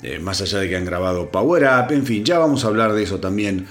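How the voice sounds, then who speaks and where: A man hosting a rock news podcast, congested and hoarse, talking casually with lots of fillers and restarts. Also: lowish voice, animated, fast talker, fairly bright tone, faint music behind. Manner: chatty, with many fillers and restarts. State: congested, sore throat. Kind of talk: solo news commentary.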